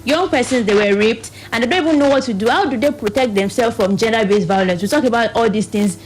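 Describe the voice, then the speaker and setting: Female radio guest, Nigerian accent, slightly high pitch, explanatory delivery, light music underneath. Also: fast talker, rough recording. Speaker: guest from a youth reproductive health organisation. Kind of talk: radio panel discussion.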